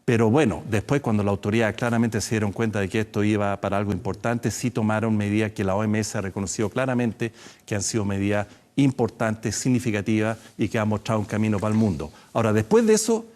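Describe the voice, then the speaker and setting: A man, a doctor guest in a studio TV interview, explaining in a measured way with some hesitations. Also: lowish voice, a little mellow. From Chile.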